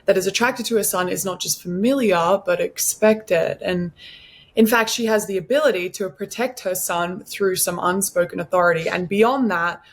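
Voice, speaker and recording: A woman's voice: lowish voice, normal speed. A woman, an actress, talking about her role in a conversational interview.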